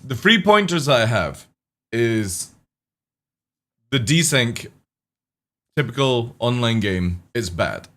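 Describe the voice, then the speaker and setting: Young guy streamer chatting w chat, bit crackly voice, bouncy pitch, swears a lot. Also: fairly bright tone. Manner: casual, swears, greets chat by name. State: slightly crackly voice.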